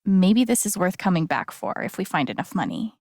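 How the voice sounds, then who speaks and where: A woman voicing an earnest, gentle young game heroine with a wry playful side, in a casual, conversational way. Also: on the low side, sing-song, fairly quick, gravelly, no echo, clean studio sound, exaggerated voice. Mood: casual, thoughtful. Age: young adult. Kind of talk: naturalistic video game voice acting.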